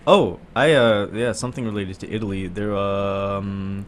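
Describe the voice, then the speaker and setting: A man's voice: on the low side, steady tone, unhurried, a little hiss or hum. A young man co-hosting a radio show, speaking in a dry, understated way and laughing often. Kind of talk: casual banter.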